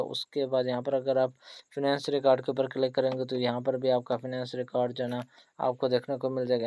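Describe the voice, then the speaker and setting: A man gives a quick, step-by-step screen tutorial as a channel host. His pitch stays fairly flat and his tone is mellow, with frequent short check-in questions as he instructs. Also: dry recording. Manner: repetitive tag questions, instructional. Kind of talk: screen-recorded tutorial narration.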